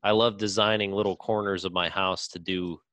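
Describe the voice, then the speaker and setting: A man's voice: low voice, flat delivery, normal speed, fairly bright tone, dry recording. A man chatting casually with friends as a podcast co-host, in an informal, sweary way. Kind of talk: casual chat among friends.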